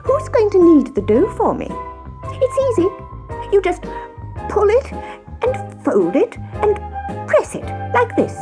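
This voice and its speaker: Woman as a chirpy, high-pitched bossy little hen. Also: wildly swooping pitch, unhurried, pauses often, over music, full character voice. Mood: brisk, urging. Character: busy, bossy, hardworking little red hen. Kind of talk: children's storybook character voice.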